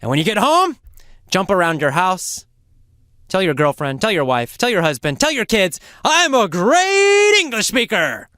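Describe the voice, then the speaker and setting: An English teacher telling a mini story for learners in a slow, emphatic and theatrical way, often asking the listener questions. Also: medium pitch, sing-song, fairly bright tone, dry recording, clean studio sound. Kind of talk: mini story lesson narration.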